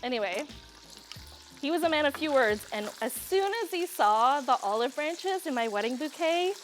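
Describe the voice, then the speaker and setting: A woman's voice: high voice, animated, moderate pace, soft background music, low-quality recording. A young woman from Serbia hosting a cooking show, chatting casually, laughing often and drifting into family stories while she cooks on a studio kitchen set.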